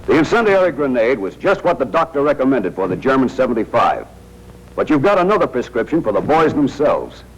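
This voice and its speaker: A man's voice: mid-range voice, sing-song, brisk. An American man narrating a 1940s military training film in a tough, wry, slangy manner, speaking directly to soldiers. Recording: noisy 1940s film soundtrack.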